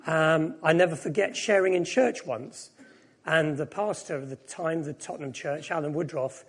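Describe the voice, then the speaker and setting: Middle-aged man, high husky voice, reflective sermon, wry and candid. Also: moderate pace. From Japan. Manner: reflective, self-deprecating. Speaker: preacher. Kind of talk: personal sermon.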